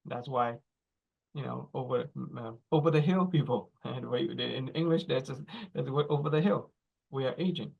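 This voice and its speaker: Man teaching slowly, dull lo-fi sound. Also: medium pitch, gravelly, husky. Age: 40s. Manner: explains slowly, checking in with "right?" and "okay?". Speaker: speaker. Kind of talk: teaching talk.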